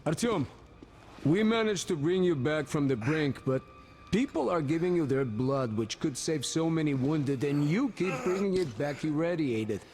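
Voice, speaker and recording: An actor voicing a grave doctor in a video game drama, admonishing in a measured, reproachful way. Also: male voice, fairly high pitch, animated, darkish tone, close to an everyday voice.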